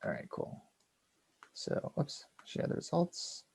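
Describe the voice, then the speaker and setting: A man moderating an online event, talking casually and quickly while he runs audience polls. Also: darkish tone. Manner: quick and chuckling, running polls. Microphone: video call.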